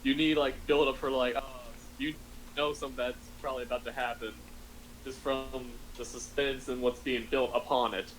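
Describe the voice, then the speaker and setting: A man's voice: medium pitch, flat delivery, normal speed, a little hiss or hum, rough recording. Hesitant man in casual discussion.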